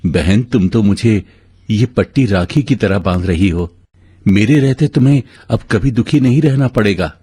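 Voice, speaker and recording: A man voicing a kind, mysterious stranger who is earnest and reassuring, in an animated moral story. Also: on the low side, normal speed, husky, dry recording. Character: kind, mysterious male stranger turned devoted adoptive brother.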